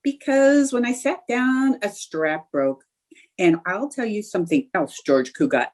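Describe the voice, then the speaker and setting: A stubborn, stagestruck wife from an old-time radio comedy , acted by a woman. Her voice is breathy-rough and mellow, with very animated intonation. She is coaxing, indignant and theatrical, always scheming. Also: medium pitch, a little slow. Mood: defiant. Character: Liz, scheming, stubborn, stagestruck 1940s housewife. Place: remote video-call production.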